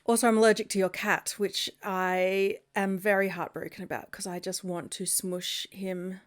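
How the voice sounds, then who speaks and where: A woman's voice: mid-range voice, little pitch movement, moderate pace. A woman co-hosting a casual podcast from her home studio over a video call. She talks in an upbeat, rambling way and giggles often. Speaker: podcast co-host. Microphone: home podcast mic over video call.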